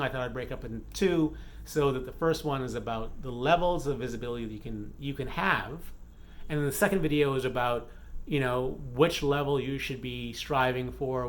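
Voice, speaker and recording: A man's voice: mid-range voice, a little fast. A man from the Bahamas chatting casually in a vlog update, rambling with frequent fillers and self-corrections. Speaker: romance author and vlogger.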